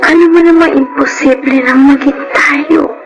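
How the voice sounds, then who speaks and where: A woman's voice: high voice, fairly even intonation. A woman with a Filipino accent playing a sorrowful married woman resisting forbidden love in a melodramatic audio drama. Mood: tearful, resigned. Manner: plaintive, measured. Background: loud background music.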